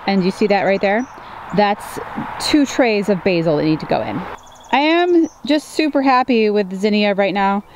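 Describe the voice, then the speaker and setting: A woman's voice: mid-range voice, darkish tone. Woman, 30s, flower farmer, casual chatty vlog, outdoors, handheld camera, faint noise. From Upstate New York. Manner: chatty and upbeat, addresses viewers as guys. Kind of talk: casual farm tour vlog. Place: outdoors in open farm field.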